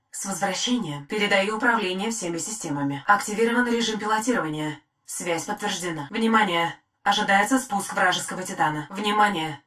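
A woman voicing a calm, dutiful female war-machine AI in a video game, giving terse military status reports in a crisp, even, measured way. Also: medium pitch, lightly acted. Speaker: titan AI voice. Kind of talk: game voice lines. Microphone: studio mic.

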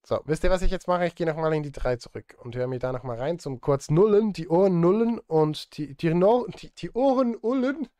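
A man's voice: a little high, expressive, a little fast, few pauses, dark tone, no room sound. A man in his thirties chatting casually on a livestream from a small studio, thinking aloud in informal murmured asides as he works. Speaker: livestreamer and film composer. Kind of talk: casual livestream chat, muttering while working. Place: small home music studio, indoors.

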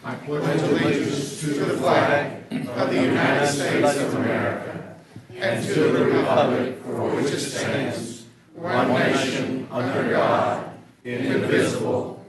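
A man leading a pledge in a slow, deliberate recitation. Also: mid-range voice, fairly even intonation, a loud crowd. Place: large county courtroom, indoors. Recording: distant voice in a crowded echoing hall.

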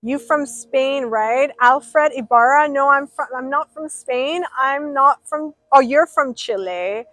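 A woman's voice: high-pitched, slow pace, a bit bright, no room sound, low-quality recording. A young woman livestreaming outdoors by a pool, rambling casually and reading out viewers' names. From Persian.

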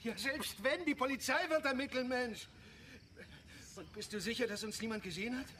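An anxious father, high-pitched. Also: normal speed, bright, forceful tone. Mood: panicked. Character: anxious, protective father dragged into vigilante violence. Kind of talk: audio drama acting. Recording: noisy background of outdoor ambience.

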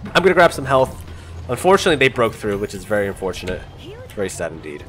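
A man's voice: fairly low pitch, sing-song, fast talker, a bit bright. A young man with a stuffy, congested voice, chatting casually and swearing now and then as he plays. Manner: chatty, laughing, swearing at close calls. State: congested from a cold. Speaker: gaming channel host. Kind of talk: casual gameplay commentary. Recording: music playing clearly underneath. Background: game music and sounds.

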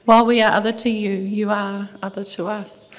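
A middle-aged woman with a New Zealand accent reading a conference paper in a soft, measured voice, from a table mic in a large lecture hall. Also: mid-range voice, flat delivery. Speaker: conference speaker, museum curator. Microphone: table microphone at panel desk.

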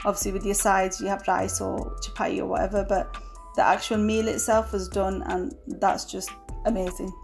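A woman giving a relaxed, chatty voice-over of her home cooking, with fillers now and then. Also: medium pitch, normal speed, gravelly, soft background music. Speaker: cooking vlogger. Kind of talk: casual voice-over narration.

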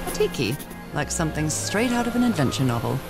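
A woman's voice: low-pitched, sing-song, fast talker. A plucky woman explorer from the 1930s, thinking aloud in a video game. She is curious and full of wonder, speaking to herself over prominent background music. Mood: intrigued. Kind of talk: video game voice acting, narrating thoughts aloud.

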